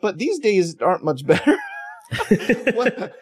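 A man's voice: high-pitched, huge pitch swings, measured pace, darkish tone, husky. A man hosting a podcast, chatting in a loose, rambling, self-deprecating way with many restarts. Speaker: podcast host. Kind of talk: casual podcast chat.

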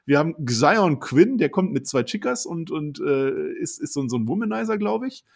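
A man with a husky, slightly breathy voice runs through a list in easy podcast chatter. He slips in deadpan jokes as he goes. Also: on the high side, moderate pace. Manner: rambling roster rundown with dry jokes. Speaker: podcast co-host. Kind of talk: casual wrestling chat.

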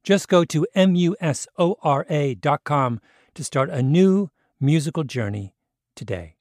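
A man's voice: a little high, expressive, unhurried, darkish tone, dry recording, clean studio sound. A man reading a scripted advertisement in a conversational style. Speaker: ad announcer.

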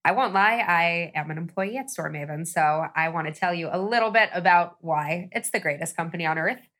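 A woman's voice: fairly low pitch, fairly quick, fairly bright tone. A woman co-hosting a podcast, reading its sponsor message and intro in an upbeat, promotional way. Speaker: podcast co-host and ad reader. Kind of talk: scripted podcast intro and ad read.

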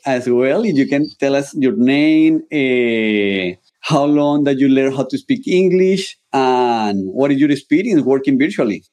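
A man leading a video call, introducing his staff in halting, non-native English. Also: mid-range voice, animated, a little mellow. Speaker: company head, co-host.

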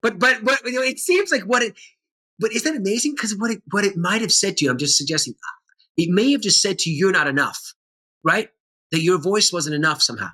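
A man's voice: high voice, expressive, brisk, sharp tone, slightly rough. A middle-aged man, an actor and teacher, talking earnestly and with animation in a conversational interview.

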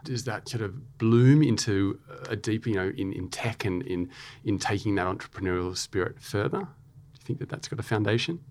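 Aussie guy interviewing, lowish voice, casual, bit umm-y. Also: a little fast, darkish tone, slight background noise. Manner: casual, hesitant questions with fillers. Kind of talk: interview hosting.

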